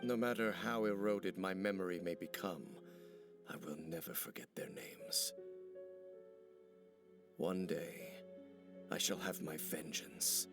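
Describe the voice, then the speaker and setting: An actor playing a solemn, brooding ancient warrior in a video game, speaking gravely and formally, embittered by old betrayal. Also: male voice, sits low, fairly even intonation. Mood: bitter, solemn. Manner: grave, formal, measured speech. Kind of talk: video game character dialogue. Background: background music.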